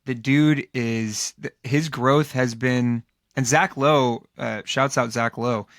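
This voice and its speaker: A man with a hoarse, worn voice co-hosts a casual sports podcast. He rambles and restarts, piling up fillers at a middling pitch. The recording is close and dry. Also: fairly even intonation, slow pace, a bit bright, no echo. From Los Angeles area, United States. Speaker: podcast co-host.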